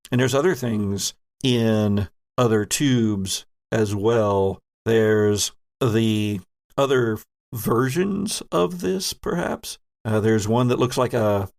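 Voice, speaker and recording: A man narrating a tabletop horror game as game master, descriptive and deliberate, pausing between phrases. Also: mid-range voice, creaky.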